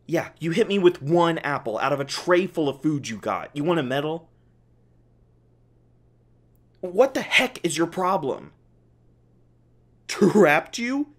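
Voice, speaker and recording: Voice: mid-range voice, lively intonation, measured pace, pauses often, natural voice. A performer voicing a snarky, exasperated scientist held captive in an audio roleplay, sarcastic, testy and casual in speech. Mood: indignant, yelling. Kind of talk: ASMR audio roleplay, one-sided dialogue.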